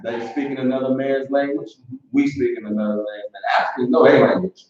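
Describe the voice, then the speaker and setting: A man's voice: medium pitch, fairly even intonation, fast, darkish tone. A man chimes into a class discussion in casual street speech. He is far from the mic in a very echoey space, and the sound is dull. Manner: casual, loose street phrasing. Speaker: class member reading scripture. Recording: very echoey, distant, dull recording.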